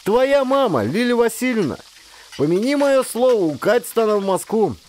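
A talkative ex-sailor cook from an audiobook, acted out by a male narrator, speaking in a raised, swinging pitch. He is simple-hearted and folksy, grumbling about his lot with rueful humor. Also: big pitch swings, normal speed, a little mellow, slight background noise. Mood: rueful, animated. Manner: folksy, colloquial, complaining with humor. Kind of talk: character dialogue in an audiobook.

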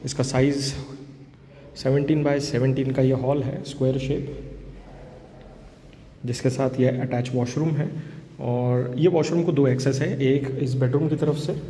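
A man's voice: mid-range voice, fairly even intonation, unhurried, pauses often, warm tone. Man, casual room-by-room tour, empty house, phone mic, audible ambience. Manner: casual, descriptive, pointing things out room by room. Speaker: property tour guide. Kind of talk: walkthrough property tour. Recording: phone video with clear room noise.

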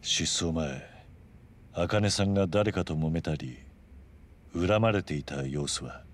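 An actor voicing a stoic, grave middle-aged tough man who speaks in a measured, terse, serious way in a video game cutscene. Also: low-pitched, slight background noise.

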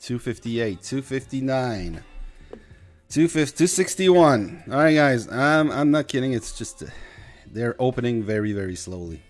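A man's voice: mid-range voice, expressive, measured pace, faint music behind. A young man from Quebec with a slight Quebec French accent, casually chatting through a mobile game stream. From Quebec, Canada. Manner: rambling, chatty, talks to chat. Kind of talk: casual gameplay commentary.

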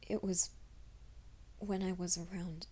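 A woman voicing a shy, flustered young male werewolf in an ASMR roleplay, speaking in a soft half-whisper with a hesitant, stammering delivery. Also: lowish voice, little pitch movement, slow pace, husky. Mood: flustered pleading. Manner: hesitant, stammering, self-conscious.

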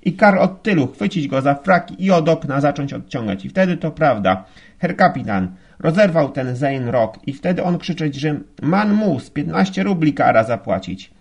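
A man's voice: a little high, expressive, moderate pace, vocal fry, husky, pitched above the performer's own voice, heavily acted. A man voicing a stout, gushing, indignant German madam who chatters in broken grammar with a German accent. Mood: indignant, pleading.

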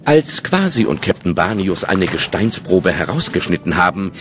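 A man's voice: low voice, sing-song, moderate pace, noisy background. A man narrating a children's audio drama as its storyteller.

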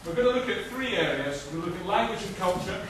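A middle-aged Scottish man giving a brisk, assured prepared speech at a conference lectern, with frequent filler restarts. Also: high-pitched, animated. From Scotland. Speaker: conference speaker. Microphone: lectern mic recorded by a far camera. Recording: echoey hall from far off, noisy.

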